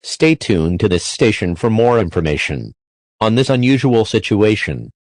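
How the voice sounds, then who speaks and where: A man reading a scripted emergency alert in a flat, formal way. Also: fairly low pitch, normal speed, no room sound.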